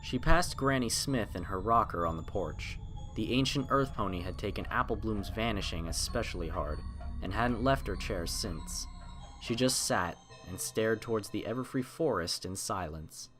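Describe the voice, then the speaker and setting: A man's voice: fairly low pitch, expressive, moderate pace, a bit bright. A man narrating a horror story in a dramatic, scripted style. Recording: prominent music under the narration. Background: music.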